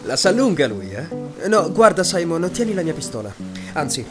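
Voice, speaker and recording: Male actor as sarcastic sailor, cocky, mocking, high-pitched, fantasy audio drama, clear music underneath. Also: very animated, moderate pace.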